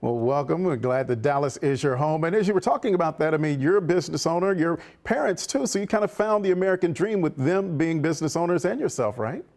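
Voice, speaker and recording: A male TV host with a slightly high voice asks questions in a polished, friendly way. He speaks like a seasoned broadcaster. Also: lively intonation, quick pace, few pauses, a little mellow. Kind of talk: TV interview. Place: TV studio.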